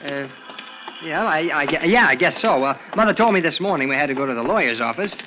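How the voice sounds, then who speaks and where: Man as gee-whiz teenage boy in a 1940s radio play: high, animated, hesitant and jittery. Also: fast talker, noisy background, natural voice. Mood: cornered. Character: nervous, evasive teenage boy, eager to please. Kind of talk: 1940s radio drama acting.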